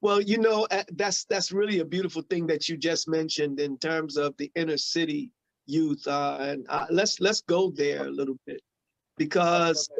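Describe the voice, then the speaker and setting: A man's voice: on the high side, steady tone, normal speed, creaky, no room sound, muffled recording. A man in his forties hosting a casual group conversation, laughing often and repeating words as he talks. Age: 40s. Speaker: host.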